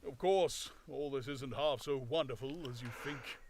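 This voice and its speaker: A man voicing an invisible man who speaks slowly and deliberately, pressing each point. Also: medium pitch, a little mellow, slight background noise, lightly acted. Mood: insistent. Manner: slow, deliberate, emphatic. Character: calm, insistent invisible man, measured.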